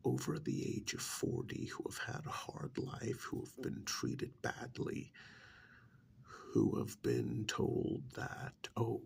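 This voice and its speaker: A man playing a smug, menacing agent of a vampire in a soft-spoken ASMR roleplay, with a breathy, gravelly half-whisper and deliberate, condescending delivery. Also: lowish voice, wildly swooping pitch, heavily acted. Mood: patient, explaining. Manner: slow, deliberate, condescending, with pauses. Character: smug, menacing vampire's male agent interrogating a captured hunter.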